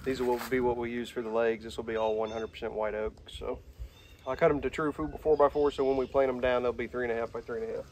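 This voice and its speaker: A man's voice: mid-range voice, little pitch movement, fast talker, darkish tone. A man in his thirties, talking plainly and matter-of-factly outdoors on a rural property while explaining his work. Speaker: homesteading vlogger. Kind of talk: casual outdoor vlog. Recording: outdoor noise audible behind voice.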